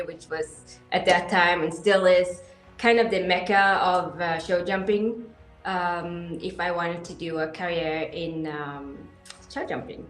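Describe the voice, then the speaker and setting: Swedish-raised woman in her 30s, lowish voice, excited and halting w/ lots of ums, roomy echo. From Sweden. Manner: enthusiastic, hesitant with many fillers. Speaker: interview guest, equestrian athlete. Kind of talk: casual interview answers.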